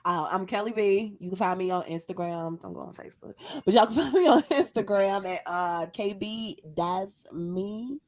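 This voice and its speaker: An American woman co-hosts a casual podcast. She is opinionated and emphatic, addressing listeners directly in a mid-pitched voice. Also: moderate pace, few pauses, darkish tone. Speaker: podcast co-host. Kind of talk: casual chat.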